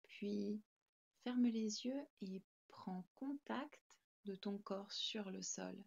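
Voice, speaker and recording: A woman guides a meditation at a slow pace with a gentle, calming delivery. Her pitch sits in an ordinary middle range for a woman. The recording is a little dull and lo-fi, and her instructions come in short, spaced phrases. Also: gravelly. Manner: calm, soothing, gentle. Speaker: yoga nidra guide.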